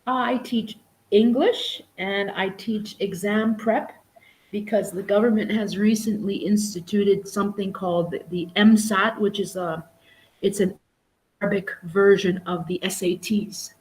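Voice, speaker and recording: A woman's voice: mid-range voice, fairly even intonation, measured pace, darkish tone, rough recording. Chatty middle-aged woman teacher. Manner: chatty, with many restarts and "you know". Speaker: guest, English teacher and exam prep tutor. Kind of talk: casual live-stream conversation.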